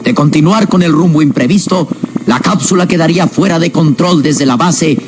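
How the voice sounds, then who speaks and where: A man's voice: on the high side, normal speed, noisy background. A man narrating a scripted radio serial in a grave, dramatic, suspenseful manner. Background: loud dramatic music.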